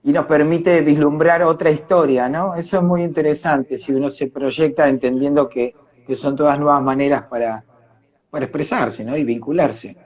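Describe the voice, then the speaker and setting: An Argentine man with a slightly high voice speaks in a reflective live chat. He is earnest and discursive, developing his thoughts as he goes. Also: steady tone, normal speed, a little mellow. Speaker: independent arts worker. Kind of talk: reflective live online conversation.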